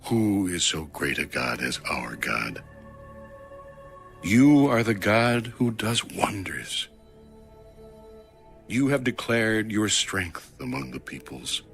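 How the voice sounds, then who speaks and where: A man's voice: on the low side, big pitch swings, takes it slowly, long pauses, a bit bright, soft background music. A man giving a solemn, reverent, declamatory reading of scripture as an audio Bible narrator.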